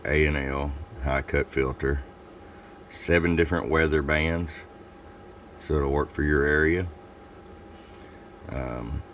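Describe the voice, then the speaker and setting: A man giving a casual product review and demonstration as a seller, explaining things in an informal, unhurried way. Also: extremely low pitch, slight background noise. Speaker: radio seller presenting a product demo.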